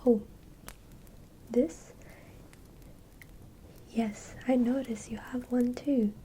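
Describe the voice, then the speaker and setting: A woman playing a tender, doting village friend in a fantasy ASMR roleplay, whispering softly in a caring, hesitant, soothing way. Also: a little high, fairly even intonation, very slow, slight background noise. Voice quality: soft, breathy whispering. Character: tender, doting female Shire friend welcoming a hero home.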